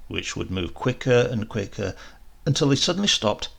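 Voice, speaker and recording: Gravelly, husky man reading a story. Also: medium pitch, big pitch swings, normal speed. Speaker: audiobook narrator reading a short story. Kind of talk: dramatic scripted literary narration.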